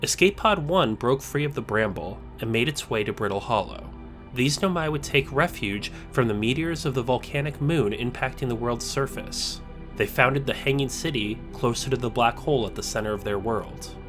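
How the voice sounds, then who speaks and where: Male video essayist, reflective scripted voice-over, deliberate pacing, faint guitar bed. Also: mid-range voice, expressive. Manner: measured, reflective, slowing for emphasis. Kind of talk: scripted narration.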